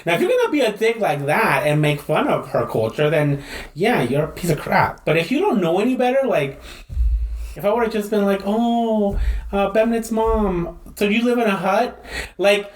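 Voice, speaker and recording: A man co-hosting a casual podcast chat, animated, laughing often and acting out quotes. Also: high voice, normal speed. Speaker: podcast co-host. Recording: echoey room.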